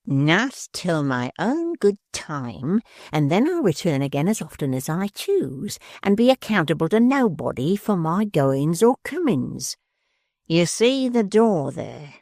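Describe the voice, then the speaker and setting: A gravelly, slow-spoken dwarf who sneers and threatens with spiteful glee, as a male reader puts him on. The voice drops low and crackles with fry in a dry, close recording. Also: very animated, darkish tone, no echo. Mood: threatening. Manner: sneering and menacing. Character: spiteful scheming male dwarf, bullying and gleeful. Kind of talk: audiobook character voice.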